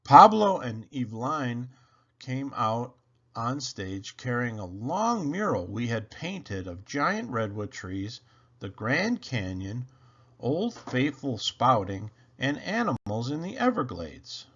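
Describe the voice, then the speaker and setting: A man reads a picture book to children with a swooping, animated delivery. He takes his time over each phrase, and his voice is bright, with slightly dull audio. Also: mid-range voice, slow pace, breathy edge. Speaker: picture book read-aloud reader. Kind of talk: animated scripted storybook narration for children.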